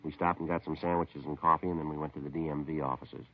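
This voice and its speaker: A businesslike, patient detective talks in a very deep, clipped voice at a quick pace. He is deadpan and matter-of-fact. The sound is a muffled 1950s radio drama. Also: background noise. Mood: deadpan narrating. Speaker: lead actor and narrator of a police radio drama. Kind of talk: terse police procedural narration and dialogue, 1950s radio. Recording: dull vintage 1950s radio recording.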